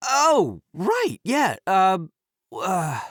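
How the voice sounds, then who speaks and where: Brash, animated young oni gang boss. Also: male voice, sits high, extremely slow, husky, no room sound, studio quality, full character voice. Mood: flustered, bluffing. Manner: boisterous, slangy, cocky, rambling when flustered. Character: brash, big-hearted young male oni gang leader. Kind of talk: video game voice acting.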